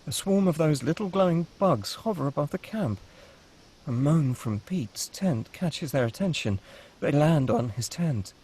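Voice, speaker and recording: A man's voice: a little high, expressive, unhurried, darkish tone, slightly rough. A man reading stage directions as the narrator of a screenplay, in a dramatic scripted style.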